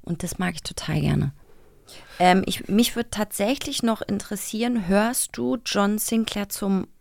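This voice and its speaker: A woman's voice: medium pitch, measured pace, gravelly, darkish tone, dry recording, clean studio sound. A woman co-hosting a podcast in casual, playful chat, teasing and slangy. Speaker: podcast co-host.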